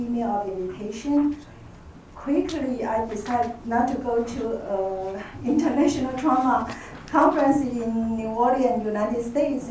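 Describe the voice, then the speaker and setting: A woman's voice: a little high, warm tone. A woman with a Taiwanese accent gives an academic conference presentation as a professor, hesitating and repeating phrases as she searches for words. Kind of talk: conference presentation, semi-spontaneous. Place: echoey conference room. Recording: echoey room, distant mic, faint noise.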